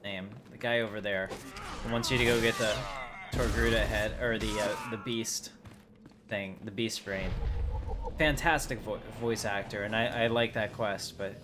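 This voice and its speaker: A man with a fairly low voice hosts a gaming livestream. He talks casually and rambles, thinking aloud as he plays. Clear background noise sits behind his voice. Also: fairly even intonation, normal speed. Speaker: livestream host.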